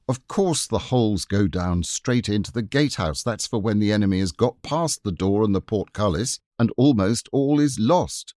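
A man's voice: on the low side, animated, normal speed, few pauses, darkish tone, no echo, studio quality, lightly acted. A man voicing a fierce, defiant young boy in a storybook. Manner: eager, defiant.

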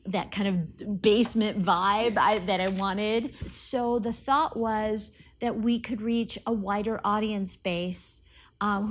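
A woman theater director talking casually in an interview, haltingly, often checking in with "right?". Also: medium pitch, slight background noise.

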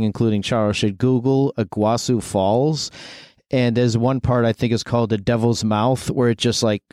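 A man's voice: fairly low pitch, darkish tone, clean tone, dry recording, clean studio sound. A man talking enthusiastically in a casual podcast chat, with frequent fillers.

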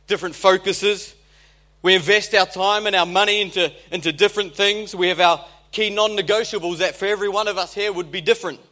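A man's voice: high voice, pushed and bright. A man with a New Zealand accent, a pastor giving a conversational sermon with jokes and emphatic repetition.

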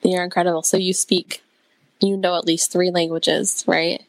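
A woman's voice: fairly low pitch, flat delivery, normal speed, vocal fry, dry recording, low-quality recording. A woman hosting a podcast, reacting effusively and asking friendly questions. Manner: warm reactions, effusive, laughs. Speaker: podcast host. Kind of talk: casual interview chat.

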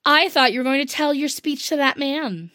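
A woman's voice: high-pitched, moderate pace, sharp tone, dry recording, studio quality, pitched above the performer's own voice. Jo, a woman doing a blunt impatient teenage girl. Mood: scornful.

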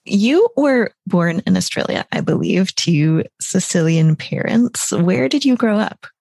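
A woman hosts a travel podcast in a husky, slightly gravelly low voice. Her delivery is polished and upbeat. The mic is close and dry, with faint music underneath. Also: animated, a little slow, darkish tone, no echo. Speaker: podcast host. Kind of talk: scripted podcast intro and interview.

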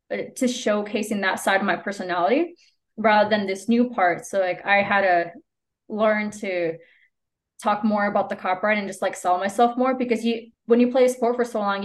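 A woman whose first language is Spanish, chatting casually as a podcast guest, peppering her informal talk with "like". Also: medium pitch. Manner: informal, frequent "like" as filler. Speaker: podcast guest, copywriter and business owner. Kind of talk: casual interview conversation.

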